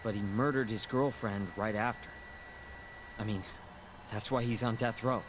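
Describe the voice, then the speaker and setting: A man's voice: lowish voice, steady tone, moderate pace, lightly acted. A man playing an inquisitive younger sidekick with a casual, questioning manner in game dialogue, with background noise. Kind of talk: video game dialogue acting.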